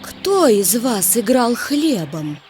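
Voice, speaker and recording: Stately cartoon Bread Queen, high and slow, solemnly instructive, loud music behind. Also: sing-song. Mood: stern, regal. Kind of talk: children's musical cartoon storytelling, partly sung. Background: children's cartoon music.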